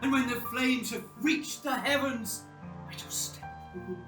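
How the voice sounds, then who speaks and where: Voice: medium pitch, a little slow, reverberant, far from the mic, over music, natural voice. An actor playing a tormented, self-loathing monster in a radio play, declaiming in a formal, theatrical, anguished way. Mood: grim resolve.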